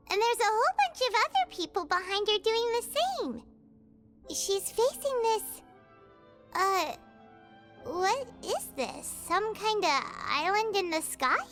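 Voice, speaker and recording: A woman's voice: extremely high pitch, a bit bright, heavily acted. A woman voicing a tiny, chatty fairy-like companion in video game dialogue, who talks about herself in the third person. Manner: refers to herself in the third person, chirpy and curious.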